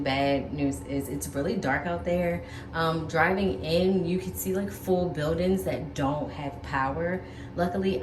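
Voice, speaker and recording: A woman flight attendant chatting casually to her phone camera in a travel vlog, bubbly and full of fillers. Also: low-pitched. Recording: roomy sound with background noise.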